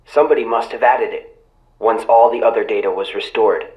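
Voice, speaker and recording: An actor playing a calm, serious young man, a loyal ally, in video game dialogue. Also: fairly low pitch, brisk, lightly acted. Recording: dull, roomy, slightly muffled sound.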